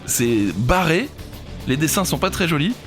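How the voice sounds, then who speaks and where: Lively male quiz co-host over music. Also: on the high side, a little slow. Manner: light-hearted, joking. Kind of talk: casual comedic quiz banter.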